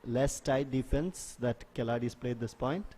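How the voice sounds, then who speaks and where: A man giving live play-by-play sports commentary in a measured, analytical way. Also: medium pitch, mellow. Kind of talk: live play-by-play commentary of an ultimate frisbee match.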